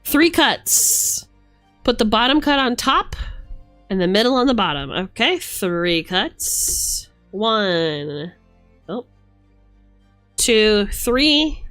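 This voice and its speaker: A woman's voice: on the high side, big pitch swings, very slow, pauses often, a bit bright. A woman giving a casual, chatty tarot reading on a live stream, musing aloud in informal language. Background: background music.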